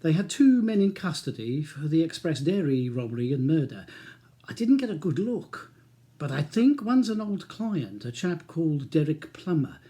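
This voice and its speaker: An audiobook solicitor in his sixties, acted out by a male narrator, greying and matey. His voice sits fairly high and stays soft-edged and mellow as he wheedles and confides. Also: sing-song, normal speed. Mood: brisk, confiding. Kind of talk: audiobook character dialogue.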